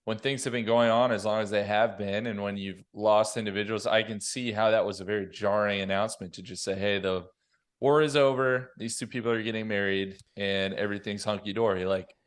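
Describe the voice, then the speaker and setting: Low-voiced man chatting on a podcast. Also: steady tone, brisk. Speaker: podcast panelist. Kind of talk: casual roundtable discussion.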